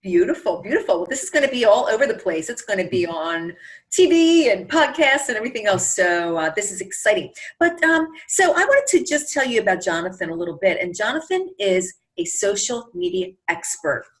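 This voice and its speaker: A woman's voice: mid-range voice, very animated, fairly quick. A woman hosting an interview show in an upbeat, effusive, gushing way. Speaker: talk show host. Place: online video call.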